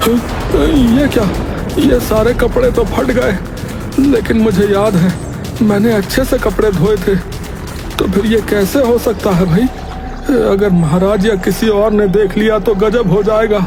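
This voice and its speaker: Cartoon washerman, lazy schemer played by a man: high-pitched, slow, exaggerated delivery over prominent music. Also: fairly even intonation. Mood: worried. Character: lazy village washerman and his scheming jealous workmates. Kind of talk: Hindi animated moral-story voice acting.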